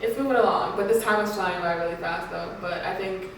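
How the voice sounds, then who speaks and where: A woman's voice: mid-range voice, brisk, vocal fry, distant mic. A woman co-hosts a casual podcast chat and keeps filling her talk with "like" and "you know". She sits some way back from the mic in a very echoey room with faint noise behind her. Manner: chatty, says "like" and "you know" often. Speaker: podcast co-host.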